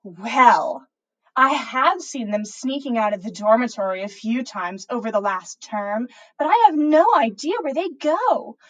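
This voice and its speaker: A woman's voice: a little high, expressive, unhurried, dull audio. A woman voicing a boastful, boy-crazy teenage witch schoolgirl who gushes and stretches out her words for emphasis in a story reading. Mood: gossipy.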